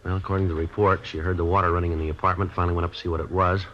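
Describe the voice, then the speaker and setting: A very deep-voiced American police detective acted by a man, talking fast in a clipped, deadpan, businesslike way. It sounds like a dull, hissy 1950s radio drama. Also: lightly acted. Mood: terse, matter-of-fact. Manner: clipped, matter-of-fact, deadpan. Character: terse, businesslike male police detective sergeant. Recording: dull, noisy old radio recording.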